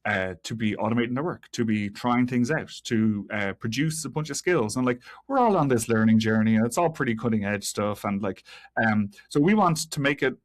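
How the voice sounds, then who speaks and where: A man being interviewed on a podcast as an engineer, explaining technical work in a halting way with repeated words and many hedges. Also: mid-range voice, animated. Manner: halting, hedging with frequent 'like' and 'kinda', repeated words. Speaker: guest, senior software engineer.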